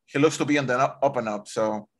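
Animated man chatting on a headset. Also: mid-range voice, fast. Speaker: podcast co-host. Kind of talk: casual sports chat. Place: home room, video call.

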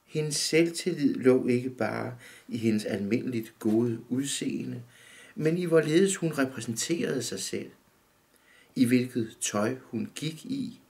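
A man in his fifties calmly reading his own short story aloud in a dim room, measured and steady. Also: mid-range voice, gravelly. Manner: calm, measured reading, often looking down at the text. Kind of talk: literary reading.

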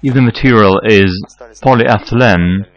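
A man in his forties giving a measured, scripted how-to explanation as a technical consultant. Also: on the low side, dull audio. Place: electronics workshop, indoors.

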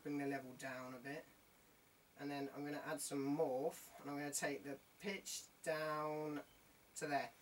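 Young man, slightly high voice, casual tutorial host, distant camera mic, faint noise. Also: fairly bright tone. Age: young adult. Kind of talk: casual tech demo. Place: small bedroom at a desk. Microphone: camera across the room.

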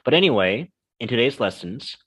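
A man's voice: medium pitch, a little fast, breathy edge. A young American man co-hosting a language lesson podcast, chatting casually with plenty of fillers. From United States. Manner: relaxed, chatty, many fillers. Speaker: co-host.

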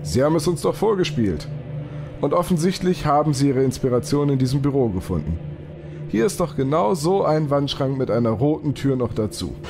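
An actor playing a polite, inquisitive policeman in a German audio drama, matter-of-fact and calmly suspicious. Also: mid-range voice, fairly quick, creaky. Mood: probing.

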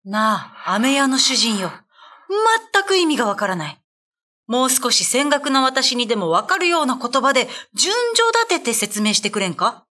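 A woman playing a stern, resolute old male Buddhist priest in a radio ghost drama, with commanding, deliberate, old-fashioned speech. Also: on the high side, lively intonation, pushed and bright.

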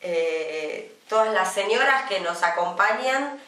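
A woman in her fifties with an Argentine accent, co-hosting a casual studio chat show and talking chattily with many drawn-out pauses. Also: medium pitch, expressive, sharp tone, recorded from a distance. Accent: Argentine Spanish. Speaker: co-host. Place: small TV studio, indoors. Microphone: desk microphone, some distance away. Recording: roomy studio sound from off-mic.

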